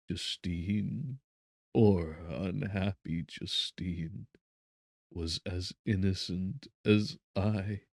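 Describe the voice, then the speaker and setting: Man playing an anguished, guilt-ridden young gentleman. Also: deep voice, no echo, studio quality. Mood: grieving despair. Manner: slow, broken, impassioned declamation.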